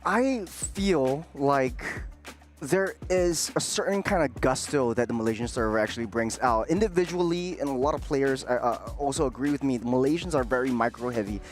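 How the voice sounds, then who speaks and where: A man's voice: medium pitch, normal speed, soft background music. A man from Singapore working as an esports analyst, giving unscripted panel analysis with frequent self-corrections.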